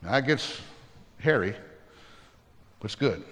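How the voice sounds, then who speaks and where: A man's voice: mid-range voice, very animated, unhurried, a bit bright, long reverb tail. A man preaching a sermon as pastor, earnest and plainspoken, with folksy humorous asides.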